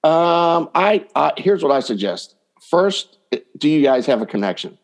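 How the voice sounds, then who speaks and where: A man's voice: a little high, very animated, unhurried. A man chatting informally and enthusiastically as a dating coach and guest, acting out imagined quotes as he gives advice. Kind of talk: casual conversational interview advice.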